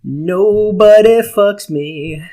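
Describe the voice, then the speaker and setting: A man's voice: sits high, very slow, dark tone. A man co-hosting a casual comedic talk show, bantering in a crude, boisterous, profanity-laden way.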